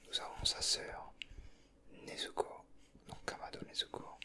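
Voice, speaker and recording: A man giving casual, teasing ASMR commentary in a breathy whisper, close to the microphone. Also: measured pace, sharp tone. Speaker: ASMR video creator.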